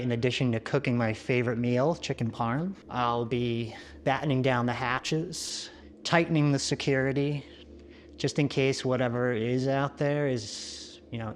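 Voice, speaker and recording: A man's voice: mid-range voice, fairly even intonation, natural voice. An actor playing a lone apocalypse survivor, uneasy but practical, talking conversationally to his camera in a found-footage vlog. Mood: uneasy, casual. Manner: conversational, matter-of-fact, slightly deliberate. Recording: noisy camcorder-style recording with ambience.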